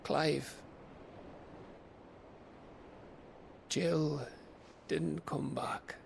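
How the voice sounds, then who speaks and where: An actor playing a gruff, loyal comrade with a British accent, blunt and colloquial, in a game cutscene. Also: male voice, fairly high pitch, flat delivery, drawn-out words, slight background noise, exaggerated voice. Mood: grave. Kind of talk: video game cutscene acting.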